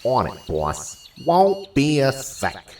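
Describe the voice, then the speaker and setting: A man's voice: medium pitch, wildly swooping pitch, slow pace, a little mellow, recorded from a distance, slight background noise. An actor playing a dutiful, tough, businesslike henchman in an audio drama.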